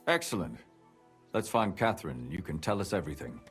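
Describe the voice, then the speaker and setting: Blunt, animated medieval sceptic. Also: male voice, sits low, a little fast, faint music behind. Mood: eager. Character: sceptical, blunt medieval man, exasperated fellow investigator. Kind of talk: video game cutscene acting.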